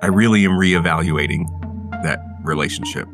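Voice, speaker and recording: A man playing a sincere, self-doubting adventurer in a tabletop game, speaking haltingly and earnestly. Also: low-pitched, over music. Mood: earnest, hesitant. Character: sincere, self-doubting male adventurer, loyal brother-in-arms.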